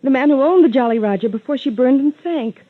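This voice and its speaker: An actress playing a frightened, pleading young secretary in a 1950s radio melodrama, with a breathy, hesitant delivery. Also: female voice, sits high, expressive, a little fast. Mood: anxious, earnest. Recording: tinny, roomy vintage radio recording.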